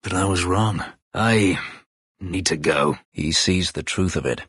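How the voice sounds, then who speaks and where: A low, husky elven fighter from a fantasy video game, once a slave and now guarded, proud and bitter. He speaks in grave, terse, formal phrases with a gravelly edge. The sound is close and dry. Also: unhurried, fairly bright tone, dry recording, exaggerated voice. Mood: somber. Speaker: video game character actor. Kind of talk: dramatic video game dialogue.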